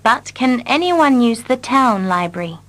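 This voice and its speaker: A woman repeating short English dialogue lines slowly and carefully for learners. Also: on the high side, animated, a bit bright. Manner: carefully repeats each line. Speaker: English teacher. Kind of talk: slow scripted reading for learners.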